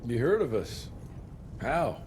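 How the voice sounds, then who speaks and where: A gruff monster hunter in a game cutscene, terse and dryly sardonic. His mid-pitched voice is blunt and deadpan. Loud background noise runs under his lines. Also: slow pace. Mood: curt, probing. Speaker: game character. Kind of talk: dramatic video game cutscene acting.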